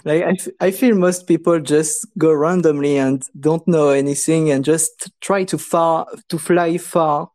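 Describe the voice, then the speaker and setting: A man with a French accent, a guest on a casual podcast, unhurried and halting, laughing often. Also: on the high side, steady tone, a little mellow.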